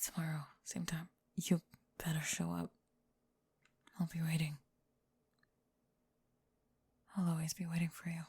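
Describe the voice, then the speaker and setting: Goth bully girl for ASMR roleplay , female performer: prickly, flustered, halting, secretly smitten, husky with some fry. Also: deep voice, little pitch movement, a little mellow, pitched below the performer's own voice, exaggerated voice. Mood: soft, embarrassed. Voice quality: breathy. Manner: tsundere, defensive, halting, backtracking.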